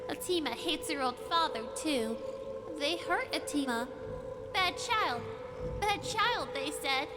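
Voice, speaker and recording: A woman's voice: high-pitched, expressive, slow, very noisy. A woman voicing a naive, sweet young Khajiit cat-girl in a fantasy video game, speaking in simple, childlike phrases about herself in the third person. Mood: hurt, resentful. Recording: game audio with loud music.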